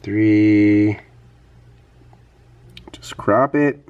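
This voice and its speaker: A man with a slightly hoarse, under-the-weather voice, talking casually in a vlog as a teacher sharing tips in an easygoing, explanatory way. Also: lowish voice, extremely slow, phone-quality sound. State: a little congested and hoarse from coughing. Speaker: teacher and vlogger.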